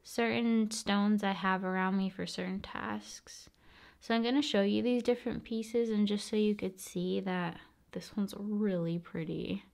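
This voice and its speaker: A soft-spoken woman hosting an ASMR video, musing casually in short, paused phrases and often slipping into whispers. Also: mid-range voice, steady tone, creaky. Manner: unhurried, pausing between short phrases.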